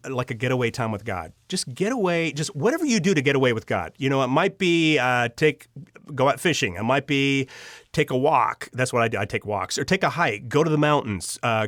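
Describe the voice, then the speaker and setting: A man's voice: mid-range voice, fairly bright tone, no echo, clean studio sound. A man speaking conversationally as an interview guest, in an earnest, encouraging manner. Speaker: podcast guest, audio drama storyteller. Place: recording studio.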